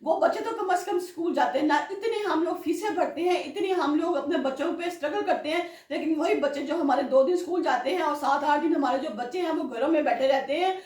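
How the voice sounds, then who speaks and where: A woman's voice: high-pitched, brisk, hardly any pauses, darkish tone. A woman delivering an earnest political commentary monologue that builds to indignant rhetorical questions. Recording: roomy echo from a distance.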